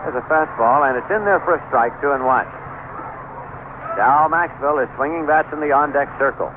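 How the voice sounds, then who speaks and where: Narrow, noisy old radio baseball announcer, man. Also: on the high side. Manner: measured, descriptive play calling in short phrases. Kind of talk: 1970s radio sports commentary. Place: ballpark broadcast booth.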